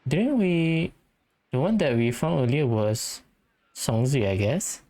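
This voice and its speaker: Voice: mid-range voice, sing-song, slow pace, crowd noise. A game player giving casual let's-play commentary, offhand and musing aloud.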